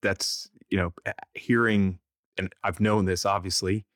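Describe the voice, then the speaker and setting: A man's voice: low-pitched, a bit bright. A man hosting an interview podcast, moving between scripted introduction and questions to guests. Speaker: podcast host.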